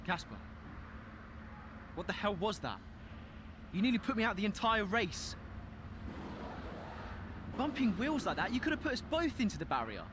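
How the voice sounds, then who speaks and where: An earnest, exasperated young rookie driver from a racing game. His voice sits a little high and moves about a lot, defensive in tone, with clear paddock and engine noise behind. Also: very animated, moderate pace, long pauses. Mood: angry. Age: young adult. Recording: noisy outdoor paddock with vehicles.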